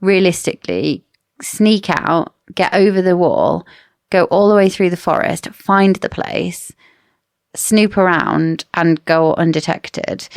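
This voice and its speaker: A woman's voice: lowish voice, expressive, slow, vocal fry, dry recording, studio quality. A woman co-hosting a casual book discussion podcast, explaining and arguing her points in an opinionated way. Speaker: podcast co-host.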